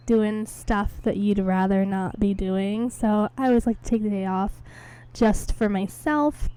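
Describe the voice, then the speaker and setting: Young female podcast host, hoarse from a cold, relaxed dark-toned, chatty and rambling. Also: medium pitch. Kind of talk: casual solo chat. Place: home, indoors.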